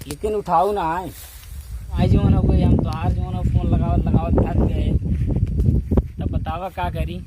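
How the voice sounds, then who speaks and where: A man's voice: high voice, darkish tone. A man with an Awadhi accent from eastern Uttar Pradesh rambles and repeats himself in a casual, complaining phone conversation, recorded outdoors in noisy surroundings. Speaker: comedian doing a phone-call skit.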